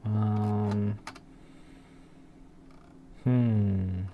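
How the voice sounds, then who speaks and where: A man's voice: on the low side, fairly even intonation, very slow, dull audio. A man streaming as he codes, thinking aloud casually and muttering to himself. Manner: muttering to himself, murmured asides with sighs and hums. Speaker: programmer live streamer. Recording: noisy room with keyboard clicks. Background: computer keyboard typing and clicking.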